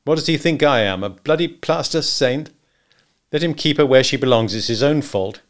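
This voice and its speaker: A man's voice: mid-range voice, moderate pace, pitched above the performer's own voice, lightly acted. A man voicing a cool, self-assured hunter in a storybook reading, with a throaty, clipped, terse delivery. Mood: contemptuous. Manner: clipped, quiet-tempered, terse.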